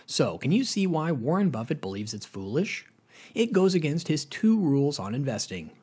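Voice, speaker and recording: Husky American male voice-over for a finance explainer; animated delivery, casual, direct to viewer. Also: fairly high pitch, normal speed. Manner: chatty, addresses viewers as guys, lively rises. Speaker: narrator of a finance explainer channel. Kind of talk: scripted voice-over narration.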